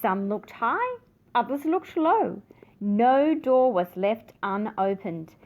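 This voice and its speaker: High, animated woman reading a storybook. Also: slow. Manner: expressive, dramatic emphasis for children. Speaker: picture book reader. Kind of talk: scripted children's story narration.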